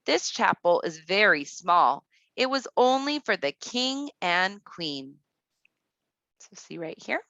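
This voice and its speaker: A woman with a very bright, forward voice reads aloud as a kindergarten teacher would. She takes it slowly and deliberately, pausing to explain things straight to the children. The sound is close and dry. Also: fairly low pitch, dry recording, dull audio. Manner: deliberate, instructive, addresses children directly. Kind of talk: read-aloud to young children with teaching asides.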